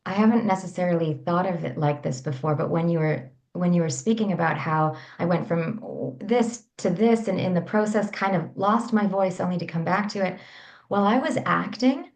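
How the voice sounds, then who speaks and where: A woman guest speaking candidly about her own life. She pitches her voice on the low side and talks softly and reflectively, often restarting her sentences as she thinks aloud. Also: normal speed, vocal fry. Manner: soft, reflective, with frequent restarts. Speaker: guest, women's coach. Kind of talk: candid personal storytelling.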